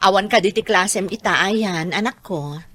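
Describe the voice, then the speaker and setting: A woman's voice: medium pitch, moderate pace, a bit bright, no echo, close to an everyday voice. A woman playing a polite, deferential housemaid and devoted mother in a radio drama, respectful and a little hesitant. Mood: motherly. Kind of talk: radio drama acting in dialogue.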